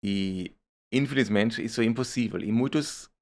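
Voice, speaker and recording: A man's voice: fairly low pitch, moderate pace, a little mellow. A man from Germany speaking with a German accent, a startup founder answering interview questions in a hesitant way, often repeating words and mixing in English. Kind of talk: casual interview talk.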